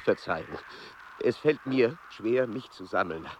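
An actor playing an exhausted, pedantic explorer in a radio play, speaking in a halting, strained way. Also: male voice, on the low side, lively intonation, a little hiss or hum, rough recording. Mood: strained, confused. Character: exhausted, pedantic explorer struggling at high altitude.

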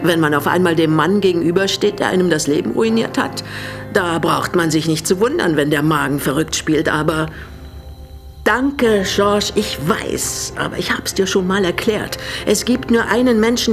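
A woman's voice: fairly low pitch, moderate pace, soft background music, lightly acted. An actress playing a resolute, bitter older woman in a German radio drama, speaking in a composed, pointed way. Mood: nervous, determined. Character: resolute, bitter older widow receiving guests.

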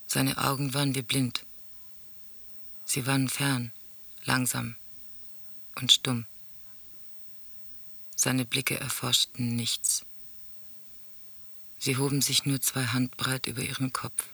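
An actor playing a sombre teller in a radio drama, reciting grim memories and letters in a solemn, measured, husky voice. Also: male voice, medium pitch, flat delivery, sharp tone, no room sound, heavily acted.

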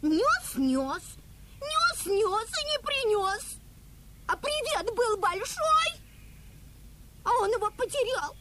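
A piercingly high, squeaky monkey girl for a children's radio play. She is stubborn and easily offended, swinging widely in pitch with impatient emphasis. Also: very animated, takes it slowly, pauses often, bright, forceful tone, a little hiss or hum, exaggerated voice. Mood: insistent. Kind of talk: children's audio play acting.

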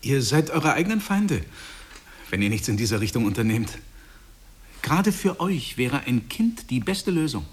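Radio play: self-satisfied young father, effusive and preachy, slightly husky, very animated delivery. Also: medium pitch, moderate pace. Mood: earnest, pushy. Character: smug, self-satisfied husband and new father, preaching family bliss. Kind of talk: stage-like radio drama dialogue.

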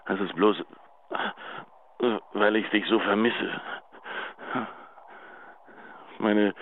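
An actor playing a pleading, lovesick, self-pitying husband leaving a halting, intimate voicemail in a radio drama. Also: male voice, lowish voice. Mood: tender, pleading. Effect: telephone voicemail filter. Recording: tinny narrow phone line.

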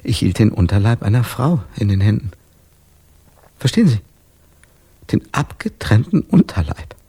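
An actor in a radio drama playing a tormented man with no memory, confessing in a halting, brooding, intense way. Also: on the low side, very animated, dark tone, breathy edge. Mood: anguished, brooding. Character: tormented amnesiac man haunted by gruesome visions.

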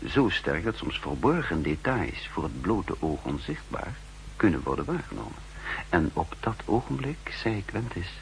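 An actor playing an older, wry and condescending spy chief who also narrates in the first person, in 1960s radio drama style. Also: male voice, sits low, big pitch swings, moderate pace. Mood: brooding, confiding. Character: aging spy chief and cryptographer, wry, secretly scheming. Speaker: narrator and lead character. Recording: humming, noisy 1960s radio recording.

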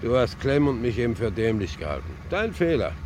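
Sneering gangster henchman played by a man, animated. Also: medium pitch, a little fast, natural voice. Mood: casual menace. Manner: sneering, casual menace. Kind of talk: German crime radio play acting. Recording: clear background noise.

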